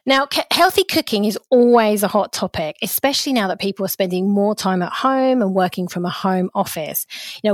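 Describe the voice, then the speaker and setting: A woman's voice: medium pitch, no room sound. A woman hosting a podcast, welcoming her guest and asking prepared questions in an encouraging way. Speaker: podcast host.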